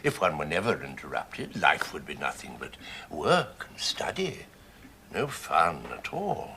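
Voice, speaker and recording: A thoughtful old professor in a radio drama, courtly and eccentric. His formal, theatrical delivery swings very widely in pitch and has a bright edge, over faint background noise. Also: huge pitch swings, unhurried, full character voice. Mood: genial, delighted. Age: older.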